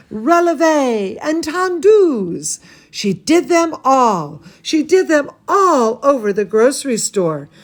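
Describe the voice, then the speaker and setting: A woman reading a bedtime story to children as their dance teacher, theatrical and gushing, with drawn-out emphasis. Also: high voice.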